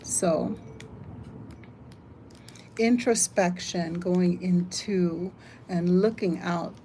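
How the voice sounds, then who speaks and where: An older woman from the United States hosting a casual live stream, chatting, reading comments aloud and thinking out loud. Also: lowish voice, very slow, slight background noise. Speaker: livestream host.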